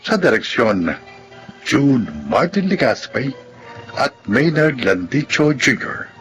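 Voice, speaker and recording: A Filipino man narrates as a radio drama announcer. His delivery is measured and emphatic, with a lively, dramatic swing in pitch. Music plays clearly underneath.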